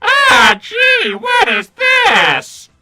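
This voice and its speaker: A woman's voice: very high voice, wildly swooping pitch, extremely slow, gravelly, pushed and bright, raised voice, faint music behind, exaggerated voice. A woman voicing a smug little robot henchman in a comic cartoon roleplay, taunting and sarcastic. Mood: sneering.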